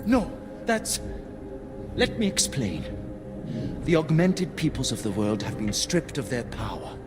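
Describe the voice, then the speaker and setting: An actor playing a principled, embattled pacifist leader in a video game cutscene, speaking with solemn, formal eloquence. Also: male voice, medium pitch, very animated, a little slow, background noise. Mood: earnest, troubled. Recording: loud music behind the voice.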